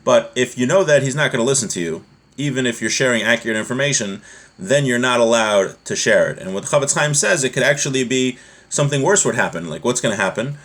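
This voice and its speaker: A man's voice: medium pitch, expressive, quick pace, fairly bright tone. Man teaching a religious class informally. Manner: didactic, repeats points, asks rhetorical questions. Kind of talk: informal lesson, reading text aloud and explaining it.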